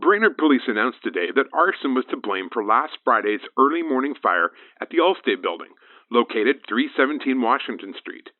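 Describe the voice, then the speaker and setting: An actor playing a brisk, folksy small-town radio newsman reading a local news and weather bulletin. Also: mid-range voice, sing-song, no echo, phone-quality sound.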